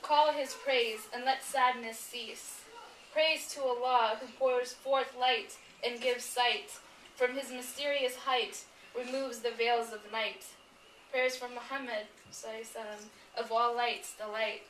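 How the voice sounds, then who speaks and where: A woman's voice: fairly high pitch, steady tone, unhurried, fairly bright tone. A woman speaking to a gathering with a heartfelt, solemn manner, as at a poetry reading. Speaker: poetry reader at a memorial gathering. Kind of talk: reciting poems to an audience. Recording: roomy, distant mic, some background noise.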